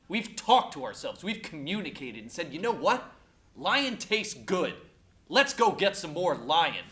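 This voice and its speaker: A man's voice: on the high side, a bit bright. A young male student actor playing a cocky man delivering an emphatic, mock-logical comic rant full of boastful threats. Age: young adult. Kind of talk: performed comedic film monologue.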